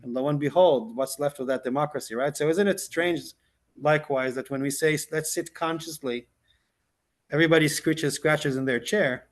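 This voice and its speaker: A rabbi whose first language is Hebrew, teaching a casual class and then leading a slow, gentle guided meditation, full of restarts and "right?" checks. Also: male voice, fairly high pitch. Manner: halting, many restarts and "like", "right?".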